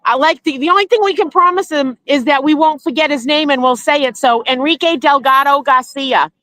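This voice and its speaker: A woman's voice: sits high, brisk, pushed and bright, no room sound, low-quality recording. A woman calling in to a casual live stream, speaking in an affectionate, heartfelt way. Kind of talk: casual chat.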